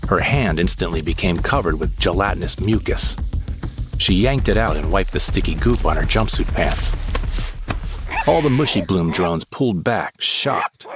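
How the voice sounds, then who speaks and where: A man narrates as a young sidekick in a sci-fi audio drama, his voice low and steady. He tells the tale in a descriptive first-person style while music plays beneath. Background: dramatic score.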